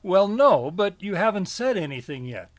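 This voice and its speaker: A man's voice: high-pitched, sing-song, normal speed, background noise. A man playing a businesslike morning radio host in a comedy sketch, with polished announcer delivery, trying to keep order. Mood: politely exasperated.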